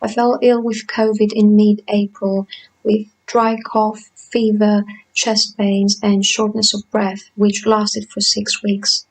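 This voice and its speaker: A woman in her twenties from Greece, calmly reading her own patient testimony. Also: medium pitch, slow, low-quality recording. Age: 20s. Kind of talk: read personal testimony.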